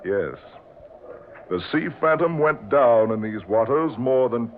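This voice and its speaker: An actor playing a gruff, commanding and easily irritated ship's officer in an old-time radio drama. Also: male voice, medium pitch, animated, measured pace, natural voice. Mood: grave, awed. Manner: brusque, authoritative, terse orders. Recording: muffled, tinny old radio recording with hiss.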